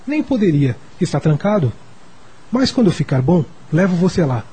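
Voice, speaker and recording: Radio drama pupil: an ailing young Brazilian gentleman, mellow, very raspy, weary. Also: on the high side, very animated, normal speed, full character voice. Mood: confiding. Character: sickly, weary young male pupil of a rich family.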